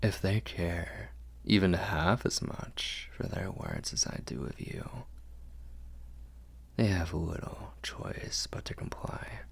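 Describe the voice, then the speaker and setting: A man playing a protective, doting vampire lord in an intimate ASMR roleplay, with courtly phrasing and a breathy, gravelly, soothing voice. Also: low voice, big pitch swings, slow, heavily acted. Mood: calm, protective.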